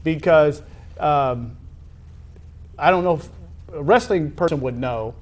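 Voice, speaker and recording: Man hosting a halting sports interview. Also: on the high side. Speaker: interview host. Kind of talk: sports interview, conversational questioning.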